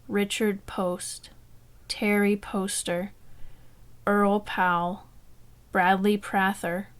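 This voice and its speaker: A woman formally reading out names for a memorial roll call, measured, with a pause after each name. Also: mid-range voice, smooth. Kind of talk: formal read-out list of names.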